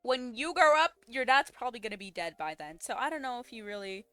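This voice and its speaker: A woman's voice: on the high side, very animated, quick pace, pushed and bright, smooth, no room sound. A woman gamer giving casual, slangy live reactions, blunt and often incredulous. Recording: game music behind commentary.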